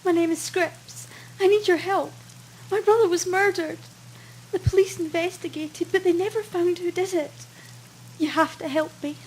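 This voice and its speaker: A woman's voice: very high voice, fairly even intonation, moderate pace, darkish tone, rough recording. A woman playing a shaken, pleading bereaved client in a noir radio drama, earnest and distressed. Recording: background noise with hum.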